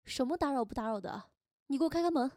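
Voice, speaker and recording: Bossy, caring young woman, high voice woman actor. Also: lively intonation, fast talker, a little mellow, dry recording, clean studio sound. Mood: worried, caring. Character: caring, bossy young woman, sharp-tongued loyal friend. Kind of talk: Chinese audio drama acting. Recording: prominent acoustic guitar music behind.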